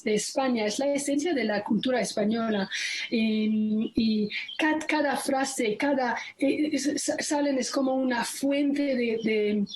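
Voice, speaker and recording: A woman author whose first language is Persian answers interview questions in a halting way, often searching for words. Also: on the high side, a little mellow, breathy edge, low-quality recording.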